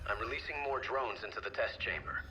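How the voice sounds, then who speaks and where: An actor playing a calm, courteous man in charge of experiments, giving measured, polite instructions in a video game. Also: fairly low pitch, slight background noise. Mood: instructing. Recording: dull, band-limited sound with music behind.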